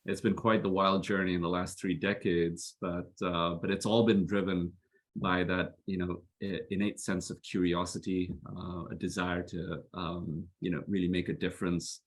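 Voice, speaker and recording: A man's voice: low voice, slightly rough. A man in his fifties from Southeast Asia, a guest telling his career story in an earnest, reflective way and laughing easily. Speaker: guest, physician and health executive. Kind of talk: casual video-call interview.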